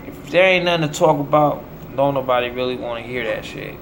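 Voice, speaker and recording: A man's voice: medium pitch, fairly quick, a bit bright, a little hiss or hum. An American man delivering a rambling monologue in short, declamatory, preacher-like phrases. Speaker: self-promoting musician.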